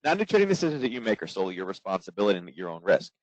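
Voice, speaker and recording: A man in his forties, hoarse from coaching, giving a trading webinar in a quick, casual way full of fillers. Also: deep voice, very animated, dry recording. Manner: quick, filler-heavy, conversational. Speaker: trading instructor. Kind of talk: live webinar presentation. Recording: dull, dry lo-fi webinar audio.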